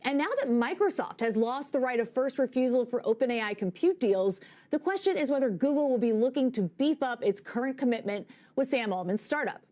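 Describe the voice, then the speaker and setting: A woman's voice: a little high, lively intonation, fairly quick, a little mellow. A woman delivering a scripted business news report for broadcast, as a reporter.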